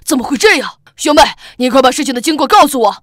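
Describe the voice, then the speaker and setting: A woman's voice: high voice, animated, fast talker, fairly bright tone, a little hiss or hum. A woman voicing an anxious fairy-tale king in a children's cartoon. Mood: worried.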